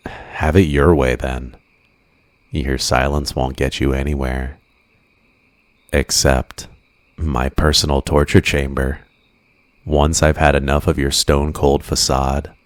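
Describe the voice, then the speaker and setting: A man playing an obsessive, flirtatious supervillain in an ASMR roleplay, drawing out teasing, softly menacing endearments in a possessive way. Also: very deep voice, slow pace, pauses often, no room sound. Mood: cold menace.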